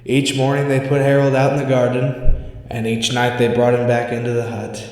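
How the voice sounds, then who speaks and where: A man reads a scripted scary tale as its narrator. His pitch is average for a male voice. The recording is very echoey, with a long hall reverb around every phrase. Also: monotone, brisk, a little mellow. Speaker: story narrator. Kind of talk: scripted spooky story reading.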